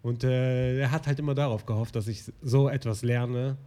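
A man's voice: mid-range voice, normal speed. A man born in Morocco and raised in Germany, chatting casually and telling stories with frequent hesitations. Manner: relaxed storytelling, frequent hesitations. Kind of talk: casual conversation.

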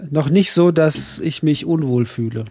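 Hoarse man with a cold, dry close sound. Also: on the high side, dark tone, dry recording. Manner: chatty, teasing, halting restarts. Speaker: podcast co-host. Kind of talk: casual podcast chat.

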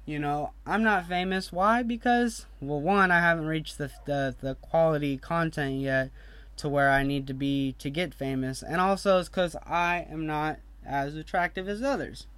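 A man's voice: on the high side, normal speed, fairly bright tone, clear, resonant tone, no echo. A young man hosting his own podcast, ranting bluntly and heatedly, swearing freely and breaking off into casual asides. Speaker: podcast host.

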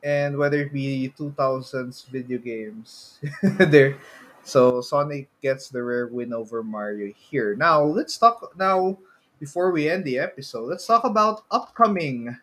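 A man co-hosting a casual podcast chat, feeling out his phrases haltingly with repeated words. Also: medium pitch, fairly bright tone. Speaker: podcast co-host. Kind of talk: casual chat about movies and games.

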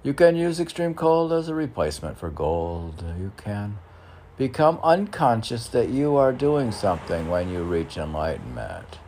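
A man's voice: lowish voice, big pitch swings, measured pace, darkish tone. A middle-aged man reading his own poetry, declaiming the verse and muttering off-the-cuff asides to himself. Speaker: poet. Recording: faint background noise.

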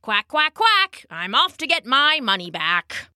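A woman's voice: fairly high pitch, sing-song, slow, bright, forceful tone, dry recording, clean studio sound, full character voice. A woman voicing a cheerful, polite and determined little male duck for a children's storybook, with an eager, quacking way of talking. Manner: quacking chant, simple and eager.

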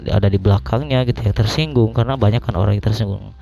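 A young man talks solo on a podcast in a low, slightly hoarse voice with a gravelly fry. He is informal and meandering, working out his thoughts as he speaks. The recording is dull and lo-fi. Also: fast, dark tone. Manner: informal, colloquial, rambling, thinking aloud. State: slightly hoarse after illness. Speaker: podcast host. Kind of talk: casual solo podcast talk.

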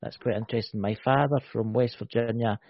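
A man co-hosting a casual podcast, speculating in an animated way and often tagging "right?" onto his points. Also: medium pitch, a little fast, vocal fry, dry recording. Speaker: podcast co-host. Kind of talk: casual chat. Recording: dull lo-fi, dry.

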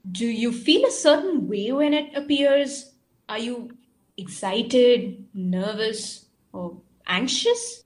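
A woman acts a commanding mind prober in an audio play. Her voice is fairly high and very measured, and she gives instructions haltingly and deliberately. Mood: probing, curious. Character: Callista, probing, commanding female mind prober. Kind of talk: audio drama acting.

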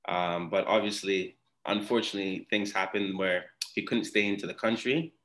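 A young man from Ghana talks easily as a podcast guest, his voice sitting very low. He rambles along loosely, circling back with fillers and restarts as he tells his story. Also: fairly even intonation, moderate pace, fairly bright tone. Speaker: podcast guest, former soccer player. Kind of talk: casual interview chat.

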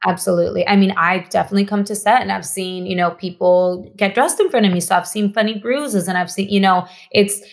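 A woman's voice: mid-range voice, brisk, gravelly, hardly any pauses. A woman from Mexico whose first language is Spanish, talking earnestly as a podcast guest and often restarting and repeating phrases for emphasis. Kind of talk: casual podcast conversation.

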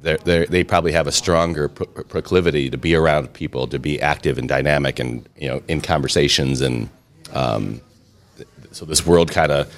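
Very deep-voiced man telling stories. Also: fairly even intonation, normal speed. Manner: chatty, self-deprecating humor, frequent restarts. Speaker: interview guest, restaurant and brewery owner. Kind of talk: casual storytelling interview.

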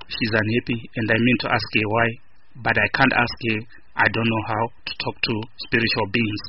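A man reading a narrative poem aloud. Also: medium pitch. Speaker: poetry reader. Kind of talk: poetry reading. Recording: muffled recording with clear noise.

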